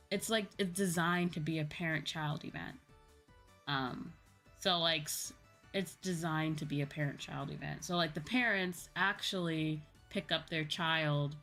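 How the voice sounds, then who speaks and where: Young adult female streamer, American, low register. Casual, rambling, unscripted chat over background music. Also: fairly bright tone. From United States. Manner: rambling, informal, self-correcting. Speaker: livestreamer, virtual YouTuber. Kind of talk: casual chat with live viewers. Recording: music playing behind the voice.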